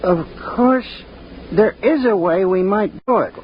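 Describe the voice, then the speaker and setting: Meek bookkeeper, high and diffident yet determined, noisy vintage fifties radio recording. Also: male voice, measured pace, dull audio. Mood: hesitant, earnest. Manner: hesitant, mild, diffident. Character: meek, mousy male bookkeeper, quietly determined. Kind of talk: 1950s radio drama acting.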